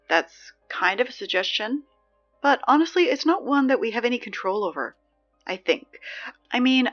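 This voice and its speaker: A woman's voice: a little high, fairly bright tone, lo-fi sound. A woman playing a sarcastic, exasperated teenage girl who reads school announcements in a casual, conversational audio drama style. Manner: snarky, conversational, dry.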